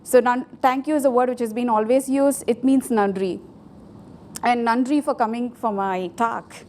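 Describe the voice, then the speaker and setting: Higher-voiced woman giving a tech talk. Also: fairly even intonation, normal speed, darkish tone, slight background noise. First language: Tamil. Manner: informal, hesitant, with fillers and restarts. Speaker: conference speaker. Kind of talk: technical conference talk with live demo. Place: conference hall.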